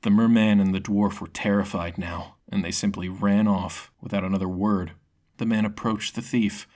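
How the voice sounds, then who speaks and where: A man's voice: on the low side, fairly even intonation, normal speed, darkish tone. A man narrating a scripted fantasy story in a dramatic storytelling manner. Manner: dramatic storytelling with character voices.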